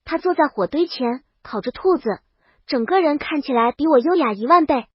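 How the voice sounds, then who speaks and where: A woman's voice: sits high, animated, moderate pace, dry recording, dull audio. A woman reading scripted first-person audiobook narration as the storyteller.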